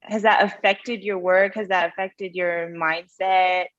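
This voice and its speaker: A woman hosting a casual online panel and putting quick questions to the guests. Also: lowish voice, fairly even intonation, a bit bright. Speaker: host. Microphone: video call. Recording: slightly dull video call audio.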